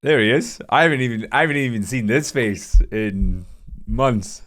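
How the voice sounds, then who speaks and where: A man's voice: medium pitch, animated, normal speed, a bit bright, soft background music. A man interviewing a guest as a podcast host in a casual, conversational style.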